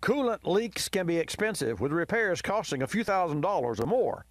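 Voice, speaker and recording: A man's voice: high voice, no echo. A man reading a radio commercial in an earnest, explanatory way. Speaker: commercial voice-over. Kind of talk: scripted ad read.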